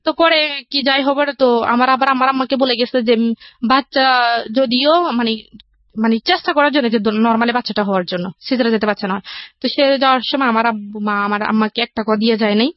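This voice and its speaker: A woman with a Bangladeshi Bengali accent recounts her life in a fairly high voice. She talks quickly and conversationally, circling back over her phrases. The recording is dry and somewhat dull. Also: fairly even intonation, no room sound. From rural Bangladesh. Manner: rambling, repetitive narration. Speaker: interview guest telling life story. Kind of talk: conversational personal storytelling.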